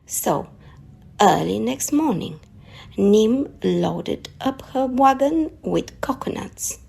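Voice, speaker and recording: A woman narrating a children's story aloud in an expressive read-aloud style. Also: on the high side, takes it slowly, vocal fry, husky.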